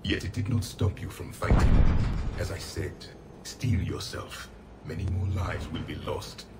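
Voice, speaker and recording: An actor playing a stern, cold alien soldier from an ancient race who lectures bluntly, in a game cutscene. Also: male voice, a little slow, over loud music. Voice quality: very deep, hard. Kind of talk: video game cutscene acting.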